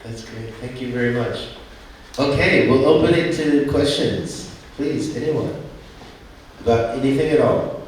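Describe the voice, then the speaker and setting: A man's voice: mid-range voice, unhurried, a little hiss or hum. A man moderating an information session, inviting questions from the audience in brief, inviting lines. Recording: echoey and distant.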